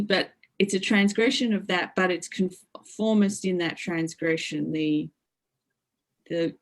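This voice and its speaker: A middle-aged woman speaks as an academic guest in an unhurried, drawn-out way, her voice sitting low for a woman. She thinks aloud, circling back with fillers and restarted phrases. Her tone stays conversational and explanatory. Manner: thoughtful, lots of fillers and restarts. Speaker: interview guest, media lecturer. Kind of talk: academic interview talk.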